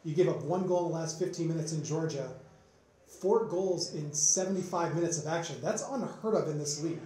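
A man's voice: on the high side, fairly quick, warm tone, very echoey, slight background noise, rough recording. A male reporter asking long, leading questions at a sports press conference.

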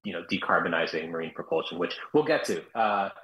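A man's voice: low-pitched, expressive, fast talker, echoey room, highs cut off. A man from South Florida, a guest entrepreneur answering interview questions with many fillers and restarts.

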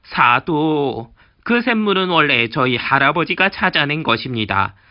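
A man's voice: fairly high pitch, big pitch swings, unhurried, muffled recording. A man voicing a greedy, scheming old village man who pleads and wheedles with an official in a storybook reading. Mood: wheedling.

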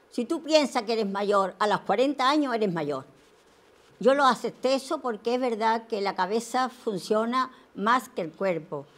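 An elderly woman with a Canary Islands Spanish accent sharing personal reflections in a thoughtful, slightly halting way on a theater stage. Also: on the high side. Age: older. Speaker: senior workshop participant.

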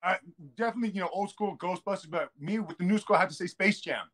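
A man in his thirties, a guest on a video call, who answers in a hesitant, halting way. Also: high voice, a bit bright. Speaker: comedian guest. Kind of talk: casual video-call chat.

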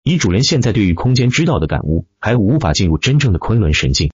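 Man voicing a cheerful, husky little girl spirit. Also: on the low side, big pitch swings, fast, no echo, highs cut off. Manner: smiling, obedient, explanatory.

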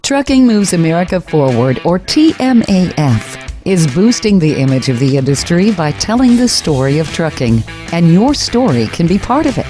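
A woman's voice: lowish voice, big pitch swings, normal speed, hardly any pauses, dark tone, faint music behind. A woman reading a scripted radio promo in an upbeat manner. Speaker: ad announcer.

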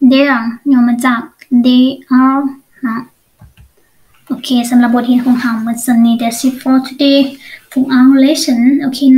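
A woman's voice: high-pitched, a little mellow, low-quality recording. A woman with a Lao accent teaching English in an online lesson, explaining step by step and often asking check questions.

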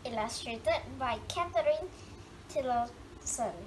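High-voiced woman reading a storybook slowly. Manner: drawn-out words with dramatic emphasis. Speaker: storybook reader. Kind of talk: expressive read-aloud of a children's picture book. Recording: clearly noisy home recording.

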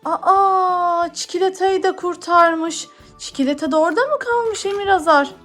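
Woman, very high voice, mother in playful toy narration, encouraging questions, music behind. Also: animated, moderate pace. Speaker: mother playing with her young son.

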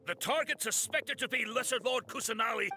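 An actor playing a stern male guard captain who barks orders and shouts. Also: extremely high pitch, brisk, vocal fry, faint music behind, full character voice. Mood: shouting alarmed.

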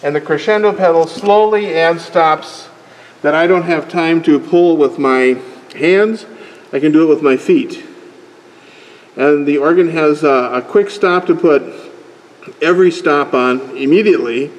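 A man's voice: on the high side, unhurried. An older man, the church organist, patiently explaining step by step how the organ console works. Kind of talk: casual explanation. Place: large church sanctuary. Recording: reverberant church hall with faint ambience.

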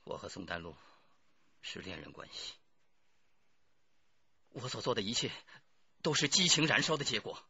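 An actor playing a defiant, cornered murder plotter under interrogation in a radio drama, speaking deliberately. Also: male voice, on the low side, very animated, creaky, sharp tone, breathy edge, no room sound, muffled recording, heavily acted. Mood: confessing.

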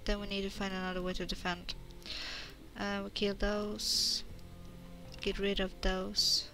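A woman's voice: medium pitch, flat delivery, unhurried, pushed and bright, faint music behind, rough recording. A woman streaming a crafting game, chatting casually, muttering to herself and answering chat questions. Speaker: gameplay streamer. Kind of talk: casual let's-play commentary.

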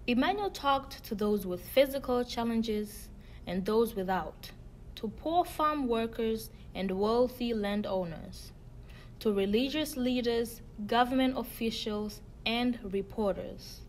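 A woman reading a picture book aloud in a measured way, stressing key words. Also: on the high side, lively intonation, a little mellow, a little hiss or hum, rough recording. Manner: measured storybook reading with emphasis. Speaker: student reader.

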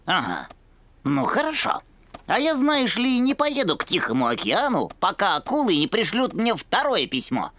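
A woman voicing a brainy, earnest older boy dog in an animated cartoon, with a fussy, flustered manner. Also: medium pitch, moderate pace, no echo. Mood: sly, suspicious. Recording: music behind the voice.

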